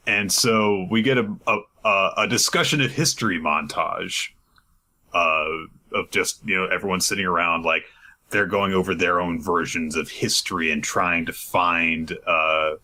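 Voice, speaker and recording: A low-voiced man with a husky edge retells a comic's chapter in easygoing podcast chat. His tone is bright and forward despite the depth, and he laughs often as he goes. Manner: chatty, laughs often, acts out quoted lines. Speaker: podcast co-host recapping manga.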